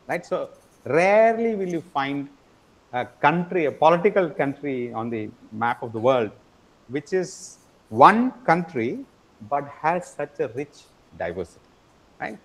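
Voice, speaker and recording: A man's voice: a little high, rough recording. Man lecturing online, checking in often. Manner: explanatory, frequent tag question "right?"; repeats words and hesitates with "uh". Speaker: teacher.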